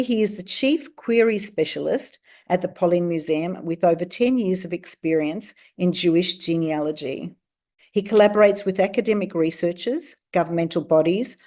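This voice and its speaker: A woman's voice: fairly low pitch, darkish tone. Woman host reading a speaker introduction. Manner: smooth, scripted delivery. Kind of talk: read introduction.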